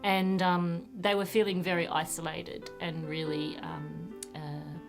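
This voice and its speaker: A woman's voice: fairly low pitch, level tone, a little slow, vocal fry. A woman teacher speaking reflectively and a little hesitantly in an interview. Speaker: college teacher and program coordinator. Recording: guitar music behind the voice. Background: acoustic guitar music.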